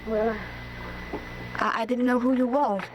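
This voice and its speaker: A woman's voice: a little high, fairly even intonation, lightly acted. A woman playing a shy, wary ranch girl. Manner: hesitant, shy, halting. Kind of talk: 1950s radio drama acting. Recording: hissy old radio broadcast.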